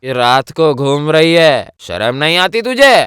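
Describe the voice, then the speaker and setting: A man playing a bullying, scornful, drunken village husband in an animated story. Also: a little high, unhurried, clean tone, dry recording, clean studio sound. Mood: scornful, menacing.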